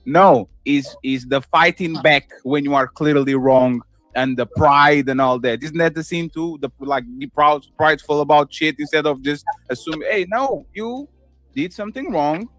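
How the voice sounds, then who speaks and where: A man with a Portuguese accent giving his opinions on a podcast, animated, swinging between loud and soft and acting out quotes. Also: on the high side, moderate pace, no echo, soft background music. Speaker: podcast co-host. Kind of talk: casual opinion talk.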